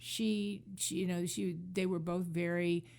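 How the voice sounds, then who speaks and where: Female talk host, lowish creaky voice, conversational commentary with halting, repeated phrasing. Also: flat delivery, a little mellow.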